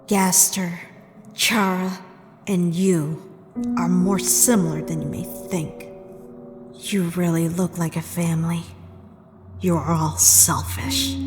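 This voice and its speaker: A woman acting a betrayed, indignant young princess in a dramatic comic dub, with an accusing, emphatic delivery. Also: lowish voice. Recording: long hall reverb under music.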